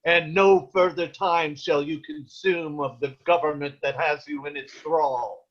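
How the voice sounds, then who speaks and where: Man playing stern medieval churchman, high-pitched for a man, formal, commanding, dramatic table read, dull sound. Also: steady tone, unhurried, a bit bright. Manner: formal, imperious. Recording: dull, lo-fi video call audio.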